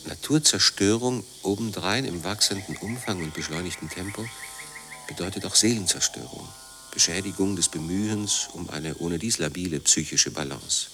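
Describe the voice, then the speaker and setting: A man reading as a critical writer and doctor in a radio play, in a measured, intellectual manner. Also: deep voice, bright, forceful tone, a little hiss or hum. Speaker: reader of a philosophical essay. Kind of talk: scripted essay reading in a radio drama.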